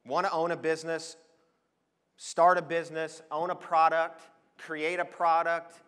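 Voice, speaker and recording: A man's voice: on the high side, level tone, measured pace, a bit bright, clear, resonant tone. An American man in his forties giving an emphatic, enthusiastic motivational business presentation on stage to an audience, in a large hall. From Switzerland, then Oregon. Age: 40s. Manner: emphatic, enthusiastic, addresses listeners with questions. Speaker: conference speaker, advertising professional. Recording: long echo of a large hall.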